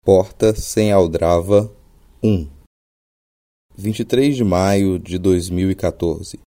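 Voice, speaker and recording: A man's voice: sits low, takes it slowly, mellow. A man with a Brazilian accent, hosting a podcast with scripted, literary narration. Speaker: podcast host.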